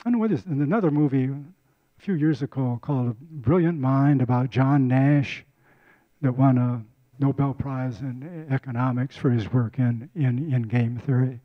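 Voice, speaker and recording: A man's voice: medium pitch, moderate pace, dry recording, dull audio. An older man giving a casual community lecture on a handheld mic, often repeating and restarting words. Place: lecture room.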